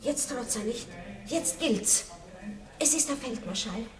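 An actress playing a worldly, aristocratic princess with an ironic, animated way of talking in a 1960s radio play. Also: female voice, on the high side, measured pace, echoey room. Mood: urgent. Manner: animated, ironic, breaks into laughter. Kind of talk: 1960s radio drama acting.

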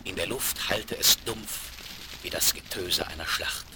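A man's voice: low-pitched, measured pace, noisy background. A man narrating a Western radio play in a dramatic, scripted storytelling style. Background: music.

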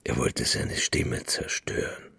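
An actor playing a distraught, bitter elderly father in a radio crime drama, halting and dropping into whispers. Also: pushed and bright, no room sound. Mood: grieving, stunned. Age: older. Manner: halting, breathy drops into whispering. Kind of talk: radio crime drama acting, monologue and dialogue.

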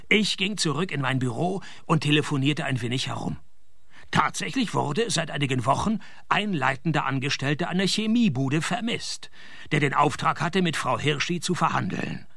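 An actor with a Swiss accent playing a cynical, deadpan private detective who narrates his own case in a radio play. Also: on the high side, normal speed, gravelly, no room sound, heavily acted. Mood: wry narrating. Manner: dry, sardonic, deadpan.

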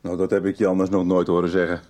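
Grumbling, mellow-voiced husband played by an actor. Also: male voice, sits low, flat delivery, fast talker, natural voice. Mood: irritated, defensive. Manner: defensive, grumbling. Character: irritable, secretive middle-aged husband.

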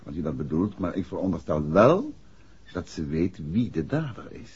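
An actor playing a calm, probing gentleman detective in a 1960s Dutch radio drama. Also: low-pitched, normal speed, breathy edge, full character voice. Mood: reassuring, firm. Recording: dull 1960s radio recording.

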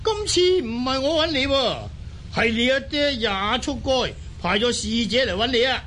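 Man acting a high-pitched, expansive Mongol chieftain. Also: normal speed, fairly bright tone, a little hiss or hum. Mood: teasing. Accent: Cantonese. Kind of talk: Cantonese audio drama acting.

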